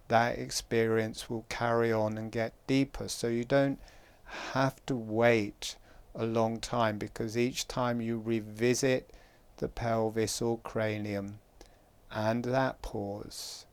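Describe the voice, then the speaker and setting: A man guiding a breathing meditation, giving calm, gentle instructions with long pauses. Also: medium pitch, smooth. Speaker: meditation guide.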